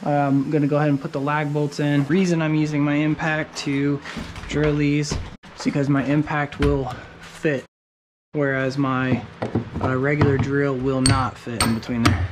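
A man's voice: fairly high pitch, little pitch movement, unhurried. A young man casually walking through a basement repair step by step as he works, filmed on a head-mounted camera in an unfinished basement. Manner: plain-spoken, explains steps as he works. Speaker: DIY home repair host. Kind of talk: casual how-to narration while working. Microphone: head-mounted action camera. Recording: noisy basement work site.

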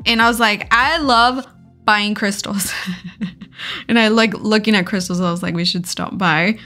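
A woman's voice: medium pitch, fairly even intonation, moderate pace, sharp tone, faint music behind. A woman narrating her road trip vlog in a casual, chatty way, giggling often and filling her talk with "like". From California. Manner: chatty, giggly, many "like" fillers. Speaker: vlogger.

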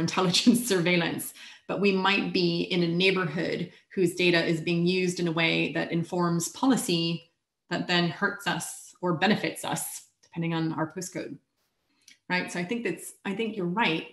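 Wry woman on a roomy video call. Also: on the low side. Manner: animated, wry, laughs lightly between points. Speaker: keynote speaker. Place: home room.

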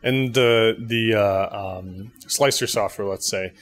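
A young man asking casual interview questions on location, phrasing them in a tentative, halting way. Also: lowish voice. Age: young adult. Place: indoor 3D printing workshop. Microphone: handheld interview mic. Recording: noisy machine-room ambience.

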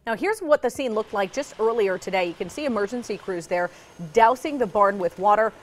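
A woman's voice: medium pitch, animated, a little fast. An American woman narrating scripted television news as an anchor. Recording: faint background noise.

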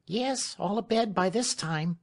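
A man voicing a warm-hearted, excitable serving woman in an audiobook, with a plain, artless, emphatic way of talking. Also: high-pitched, slow, voice pushed up, heavily acted. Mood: simple, good-natured.

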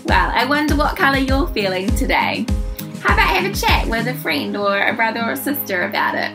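A woman in her twenties hosting a children's storytime, reading a picture book aloud in an expressive, deliberate way for young listeners. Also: a little high, gravelly. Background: music.

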